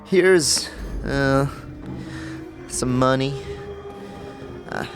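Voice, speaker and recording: A woman's voice: very deep voice, very slow, over music, full character voice. A woman playing a nervous, tipsy barfly who stammers and evades, in a fantasy audio drama. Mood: anxious, reluctant. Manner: stammering, hesitant, nervous giggling. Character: nervous, tipsy female barfly posing as a dustman, skittish and evasive.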